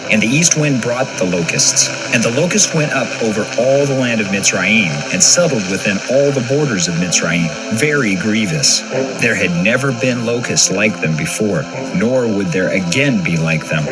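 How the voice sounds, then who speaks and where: A man with a lowish voice narrates scripture for an audio dramatization. Music plays clearly behind his scripted reading. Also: lively intonation, moderate pace, rough recording.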